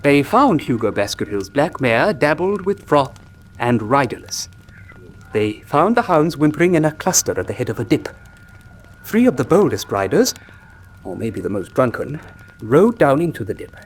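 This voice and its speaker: An actor playing an earnest country doctor who tells a grim tale gravely and articulately in a radio drama. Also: male voice, medium pitch, very animated, normal speed. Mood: grave, dramatic.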